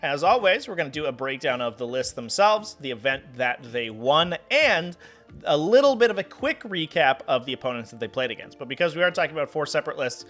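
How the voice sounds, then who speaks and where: A young man with a slightly hoarse voice and a bright, forceful tone hosts a tabletop gaming show. He talks in a chatty, upbeat way, his pitch swinging lively up and down over soft background music. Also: a little fast. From New England, US. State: hoarse from a cold. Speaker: host. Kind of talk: tabletop game tournament commentary.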